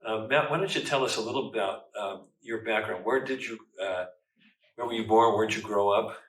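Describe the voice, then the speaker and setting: A man who is a newspaper editor, asking questions in a measured way. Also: fairly low pitch, fairly bright tone. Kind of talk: interview. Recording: roomy, distant mic.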